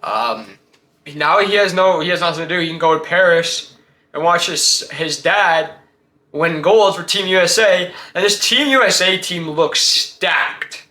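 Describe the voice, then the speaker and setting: A man's voice: a little high, measured pace, pushed and bright, echoey room. A man hosting a casual sports talk show, giving blunt, slangy opinions and firing off rhetorical questions.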